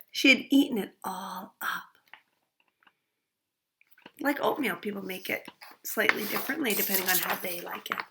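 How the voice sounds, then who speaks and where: A woman reading a picture book aloud to children, expressive and pausing to ask them questions. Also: medium pitch.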